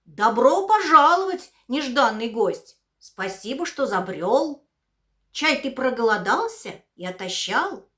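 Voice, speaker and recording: Женщина изображает огромную одноглазую злую великаншу. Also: female voice, on the high side, sing-song, slow pace, pushed and bright, full character voice. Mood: mocking. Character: huge one-eyed female ogress, menacing. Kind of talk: puppet theatre storybook reading.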